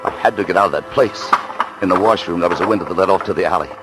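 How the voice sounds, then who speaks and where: A man acting as a desperate, down-on-his-luck American husband in a vintage radio thriller, with a very low voice. He is nervous and stammers as he confesses, with music behind him on the old recording. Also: racing, natural voice, poor audio. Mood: grim, tense. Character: panicky, down-on-his-luck husband on the run, narrating his crime.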